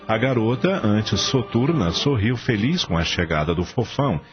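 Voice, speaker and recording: A Brazilian man narrating a radio drama from a script in a formal storytelling manner. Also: fairly low pitch. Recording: dull lo-fi audio. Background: clear music bed.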